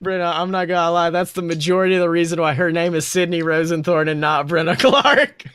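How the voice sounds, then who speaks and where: A man's voice: a little high, fairly quick, fairly bright tone, clean tone, no room sound, studio quality. A man hosting a podcast, telling improvised fantasy stories as game master and chatting. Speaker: podcast host. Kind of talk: improvised second-person fantasy storytelling and podcast chat.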